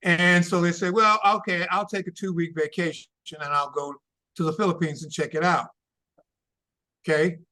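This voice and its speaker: A man who is losing his voice gives slow, deliberate travel advice as a consultant, checking in with "okay?" and "all right?". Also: high-pitched, sharp tone, low-quality recording. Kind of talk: casual advice talk.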